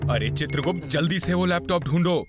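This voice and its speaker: A man's voice: fairly high pitch, fairly quick, lightly acted. A man voicing a pompous, easily offended cartoon god of death in a grand, commanding manner. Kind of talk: theatrical Hindi cartoon voice acting. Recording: loud music behind.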